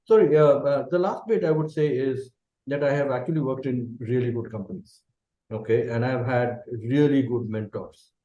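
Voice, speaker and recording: A middle-aged man with an Indian English accent and a Bengali mother tongue, talking through his answers in a reflective way. His voice is dark and mellow. It sounds roomy and a little dull, like a remote call. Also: medium pitch, normal speed. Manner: thoughtful, checks in with a frequent "okay". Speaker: guest, company managing director.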